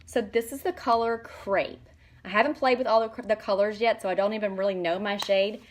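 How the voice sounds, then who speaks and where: A woman's voice: medium pitch, steady tone, brisk. Chatty woman in her forties gushing. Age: 40s. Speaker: makeup seller showing products. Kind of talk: casual product demo vlog.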